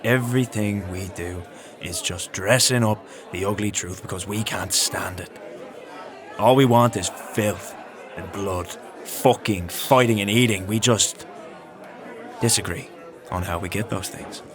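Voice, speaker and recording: An actor playing a cynical, coarse, world-weary male scavenger in a dark fantasy audio drama, blunt and foul-mouthed. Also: fairly low pitch, a little slow, a crowd in the background, close to an everyday voice. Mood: jaded, bitter. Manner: blunt, profane, jaded.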